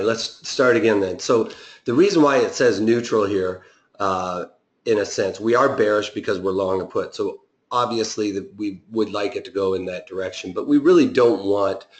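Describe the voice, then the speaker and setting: Raspy man teaching a live webinar. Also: on the low side, reverberant. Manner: explanatory, with frequent fillers and restarts. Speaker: options trading instructor.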